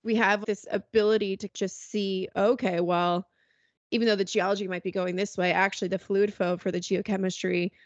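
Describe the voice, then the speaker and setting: A woman hosting a podcast, chatting in a relaxed way and laughing often. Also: medium pitch, brisk, no room sound, muffled recording. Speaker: podcast host. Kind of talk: casual podcast chat.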